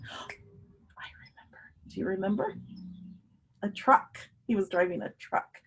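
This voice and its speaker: A woman teacher reading a picture book aloud to children, pausing to ask them simple, encouraging questions. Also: medium pitch, husky, rough recording.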